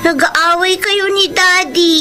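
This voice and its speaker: Casting: polite, sweet young child, tiny high voice, slow delivery, radio melodrama. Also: female voice, fairly even intonation, sharp tone, heavily acted. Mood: worried, loving. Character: sweet, worried small child of quarrelling parents. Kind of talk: Filipino radio drama acting. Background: music.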